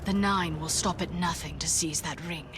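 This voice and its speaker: An actress playing a guarded, steely warrior woman in a video game, speaking in a cool, measured, serious way. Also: on the low side, a bit bright, soft background music, natural voice. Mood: guarded, resolute. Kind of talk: dramatic video game dialogue.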